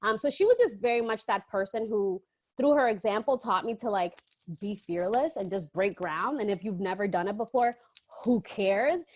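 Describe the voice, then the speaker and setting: A woman's voice: mid-range voice, animated, normal speed, a little mellow. A woman from the Dominican Republic chatting as an interview guest, telling stories casually and quoting people as she goes. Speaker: podcast guest, entrepreneur.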